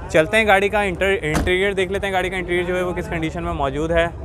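A man's voice: high-pitched, fairly even intonation, fast talker. A man, hosting a car market vlog in a repetitive, explanatory patter. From Pakistan. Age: 20s. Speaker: host, car market reviewer. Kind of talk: vlog walkthrough and short interviews. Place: outdoor open car market. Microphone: phone at arm's length. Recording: busy outdoor street market chatter.

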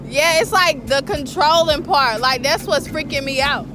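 A young American woman chatting casually and playfully to a vlog, excitable and stretching out her words. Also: high-pitched, moderate pace, music in the background. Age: young adult. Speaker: vlogger. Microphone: handheld phone at arm's length.

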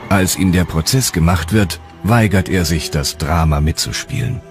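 A man's voice: low voice, normal speed, crowd noise. A man delivering scripted, dramatic narration for a documentary. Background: music.

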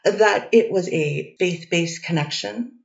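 A woman's voice: fairly low pitch, takes it slowly, gravelly. A woman reading the part of a defense attorney in a courtroom transcript reading, asking methodical cross-examination questions. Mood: steady. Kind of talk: readers theater courtroom reading. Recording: dull distant echoey recording.

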